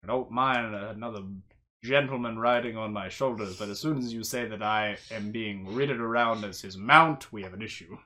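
Game master as a proper, affronted gentleman. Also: mid-range voice, normal speed, natural voice. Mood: affronted, firm.

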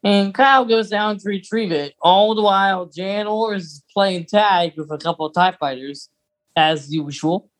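Woman co-host with a medium-pitched voice. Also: a little slow, rough recording. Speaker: podcast co-host. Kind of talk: casual conversational story recap.

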